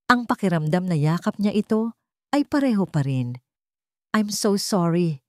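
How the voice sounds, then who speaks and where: A woman narrating a scripted drama as a storyteller, with measured, dramatic phrasing and pauses. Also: fairly low pitch, darkish tone, no echo, clean studio sound.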